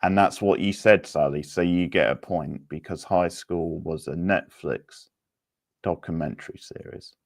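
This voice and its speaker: A man hosting a casual video game quiz, reading out options and answers in a deliberate way. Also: low-pitched, steady tone, creaky. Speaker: quiz host.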